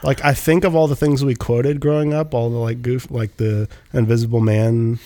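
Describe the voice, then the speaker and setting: A man's voice: medium pitch, little pitch movement, normal speed, dark tone. A man in his twenties chatting casually, rambling with lots of "like" and fillers. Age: 20s. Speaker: musician guest. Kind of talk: casual chat.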